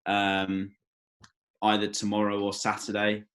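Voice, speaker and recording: A man's voice: lowish voice, monotone, a little slow, a bit bright. A man talking casually in a meeting as one of the actors at a table read.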